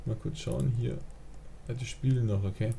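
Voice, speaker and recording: Man, mellow warm voice, rambling livestream chatter, desk mic at home. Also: medium pitch, fairly even intonation, normal speed, creaky, slight background noise. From Germany. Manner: chatty, rambling, answers chat, frequent fillers. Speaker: live streamer. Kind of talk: casual gaming livestream chat.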